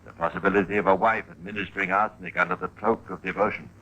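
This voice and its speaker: A man narrating a radio crime drama as host, in a measured, theatrical 1950s style with pointed emphasis. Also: low voice, steady tone, bright, forceful tone, muffled recording.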